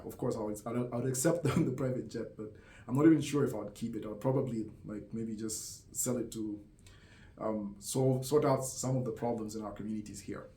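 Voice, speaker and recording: A man from Zambia talking casually to camera, thoughtful and hesitant, with lots of "um" and "like" and small laughs. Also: mid-range voice. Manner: hesitant, many fillers and soft laughs. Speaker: vlogger. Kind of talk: casual reflective monologue. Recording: roomy echo.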